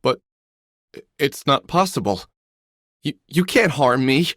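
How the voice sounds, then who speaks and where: A man's voice: mid-range voice, expressive, measured pace, a bit bright, no room sound, studio quality. An actor playing a desperate middle-aged man in a dramatic video game, stammering and pleading. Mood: disbelieving.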